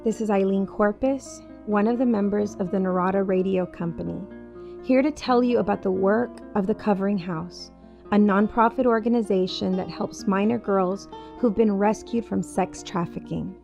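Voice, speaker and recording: A woman's voice: medium pitch, moderate pace, gravelly, dark tone, faint music behind. A woman reading a scripted public service announcement as spokesperson for a charity.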